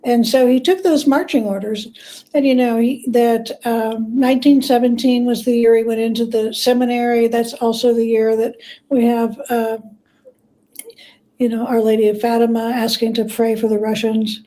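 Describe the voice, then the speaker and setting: A woman with a soft-edged, mellow voice set a little high speaks as an interview guest. She tells stories from history in a conversational, measured way, pausing to find the next piece. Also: steady tone, poor audio. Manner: measured, pauses to gather thoughts. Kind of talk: conversational storytelling.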